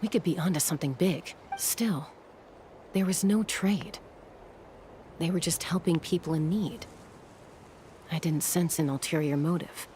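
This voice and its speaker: A woman playing a calm, resolute young female scout in a video game, speaking in a measured, decisive way. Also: deep voice, slight background noise. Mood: composed, watchful. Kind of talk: video game dialogue acting. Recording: game music under the voice. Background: game music.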